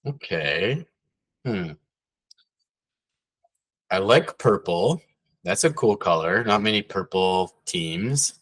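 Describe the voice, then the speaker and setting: Low-voiced rambling podcast co-host man. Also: slow pace, pauses often, slightly rough. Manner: rambling, lots of "like" fillers, animated when amused. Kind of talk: casual sports chat.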